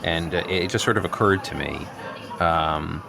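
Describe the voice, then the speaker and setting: A man playing an earnest, neurotic, self-absorbed friend in an improvised radio comedy, halting and over-explaining. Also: low-pitched. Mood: defensive, earnest. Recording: clearly noisy background ambience.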